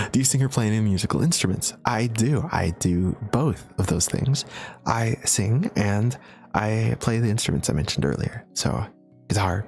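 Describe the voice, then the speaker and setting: A young man chatting casually on a livestream, answering viewer questions in a relaxed, chatty way with frequent laughs and fillers. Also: lowish voice, vocal fry. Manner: laid-back, chatty, laughs often, many fillers. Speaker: streamer.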